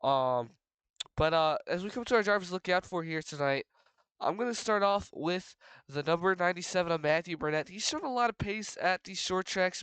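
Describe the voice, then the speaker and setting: A man's voice: on the high side, a bit bright, clear, resonant tone, no echo, rough recording. A young man with a congested, stuffy voice, giving a rambling solo preview of a racing league's standings with lots of fillers and restarts. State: congested from a cold. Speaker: race commentator for a sim racing league. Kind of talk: solo race preview commentary.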